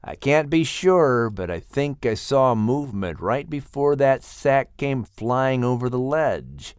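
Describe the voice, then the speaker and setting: A man voicing a loyal, wary animal in a children's story, speaking gravely and deliberately. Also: mid-range voice, expressive, dry recording, studio quality. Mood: grave, suspicious. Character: loyal, solemn, wary male animal friend.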